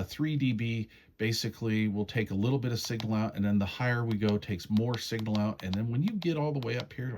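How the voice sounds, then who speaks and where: A man with a fairly low voice walks through a product's settings step by step. His delivery is casual and folksy, like a hands-on review. There is some faint noise and clicking behind him. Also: darkish tone. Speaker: radio reviewer demonstrating menu settings.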